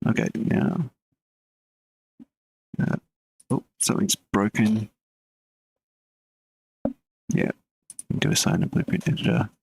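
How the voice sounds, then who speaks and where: Male game dev, live stream; deep, husky, rambling thinking-aloud delivery. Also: slow, pauses often, dry recording, low-quality recording. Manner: relaxed, mutters while working, chats with viewers between code.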